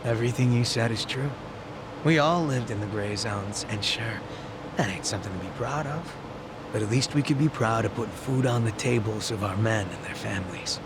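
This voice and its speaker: An actor playing a streetwise, principled former gang boss in a video game, casual and persuasive over background noise. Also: male voice, fairly low pitch, normal speed, natural voice. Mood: firm, persuasive. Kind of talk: English video game voice acting.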